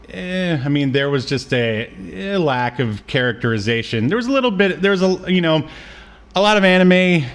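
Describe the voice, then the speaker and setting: A man co-hosting a podcast, chatting casually about pop culture with hesitant, rambling phrasing and trailing asides. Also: mid-range voice. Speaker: podcast co-host.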